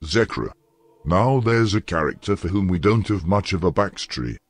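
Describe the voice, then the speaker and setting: Male interviewer, lowish voice, very dry recording, reading out prepared questions. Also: lively intonation, moderate pace, dry recording.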